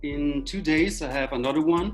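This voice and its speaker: Italian-accented man, somewhat high voice, halting english, interview guest, faint music behind. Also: low-quality recording. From Italy. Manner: halting, searches for English words. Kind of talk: video call interview.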